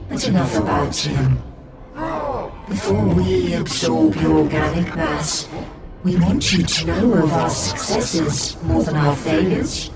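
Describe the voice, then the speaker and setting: A man voicing a monstrous collective being that calls itself "we" and talks with cold, formal courtesy. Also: on the high side. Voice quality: gravelly, speaks as "we". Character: monstrous collective male abomination, coldly polite. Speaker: game villain. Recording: echoey, distant, loud score behind.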